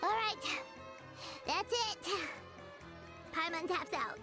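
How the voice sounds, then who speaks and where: Tiny fairy mascot, extremely high-pitched, very animated, childish, whiny, game dialogue over music. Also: female voice, takes it slowly, heavily acted. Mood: defeated, whiny. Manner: refers to herself in the third person. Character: tiny female fairy companion, childish, whiny, excitable, gluttonous. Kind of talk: video game character voice acting.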